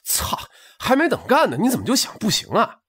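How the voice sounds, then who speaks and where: Guy as a tipsy hustler talking his buddy round, raspy, super animated, audiobook drama. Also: very high voice, a little fast, heavily acted. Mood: persuasive. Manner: earnest, coaxing, colloquial. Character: scheming, persuasive male street hustler, tipsy.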